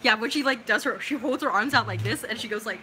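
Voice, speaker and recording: A young American woman from California hosting a casual livestream, reading out viewer comments and reacting in an informal, expressive, slangy way. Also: on the high side, fast, a little hiss or hum. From California, United States. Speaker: livestream host.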